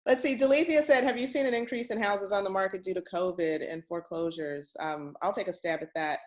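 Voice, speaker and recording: A woman's voice: mid-range voice, brisk. A woman hosting a question-and-answer session in a casual, chatty, encouraging way with informal, folksy phrasing. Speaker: host of a real estate question-and-answer session.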